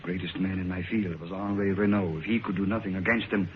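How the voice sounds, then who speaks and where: Male actor as resigned occult doctor, calm and low; muffled vintage radio with heavy hiss. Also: fast talker, lightly acted. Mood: grave. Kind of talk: 1950s radio drama acting. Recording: dull old radio recording with loud hiss.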